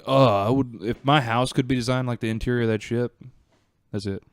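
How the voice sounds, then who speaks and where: A man with a clear, smooth voice and a mid pitch chats as a podcast guest. The sound is close and dry. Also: steady tone, a little fast, dry recording. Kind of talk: casual podcast chat.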